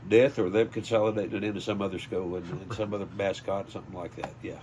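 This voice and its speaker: Older Southern man, low folksy voice. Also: flat delivery, fast talker, a little mellow, a little hiss or hum. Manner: folksy, anecdotal. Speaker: high school sports PA announcer. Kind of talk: casual interview storytelling.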